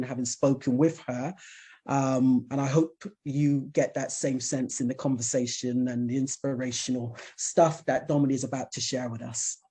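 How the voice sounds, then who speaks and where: A man hosting a launch event, explaining a leadership programme in a steady, explanatory way with fillers. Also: medium pitch, husky. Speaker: programme host.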